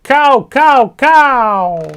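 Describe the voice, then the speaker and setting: A man voicing a cheeky, mischievous male parrot with a shrill, squawking voice and a teasing, bossy way of talking. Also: sing-song, measured pace. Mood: screeching.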